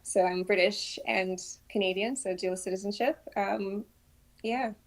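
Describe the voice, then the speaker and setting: A woman from Trinidad in her thirties talks through her background in a relaxed interview. She speaks softly and thoughtfully, with frequent ums and pauses, at an average pitch. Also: poor audio. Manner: soft-spoken, many ums, thoughtful. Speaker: guest, principal ballet dancer. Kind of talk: casual interview answers.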